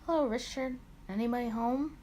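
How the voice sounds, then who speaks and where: A woman's voice: fairly high pitch, fairly quick, a little mellow, slight background noise, lightly acted. A woman voicing a drunk, teasing, smug man in a visual novel, in a playful, theatrical manner. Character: drunk, teasing, lazily smug male detective's partner.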